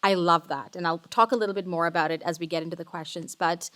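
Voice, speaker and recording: A woman in her forties from Sri Lanka, speaking on a panel in a lively, chatty, informal way. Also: lowish voice, fast, clear, resonant tone. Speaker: panelist, tech company executive. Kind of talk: casual panel talk.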